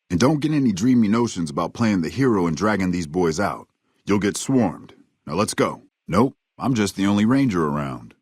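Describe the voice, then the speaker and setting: An American actor playing a gruff, wry, cynical frontier army ranger in a video game, with a folksy, drawling, sardonic manner. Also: male voice, low voice, dry recording, studio quality, exaggerated voice. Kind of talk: video game dialogue lines.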